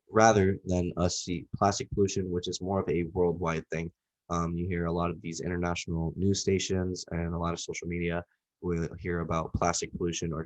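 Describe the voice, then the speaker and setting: A young man with a cold, giving a casual, rambling class presentation with lots of fillers. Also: low-pitched. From Southwest Florida. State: nasal from a cold. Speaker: student presenter.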